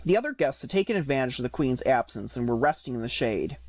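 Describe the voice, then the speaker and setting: A man narrating a storybook as an audiobook reader, in an expressive scripted reading. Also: medium pitch, quick pace.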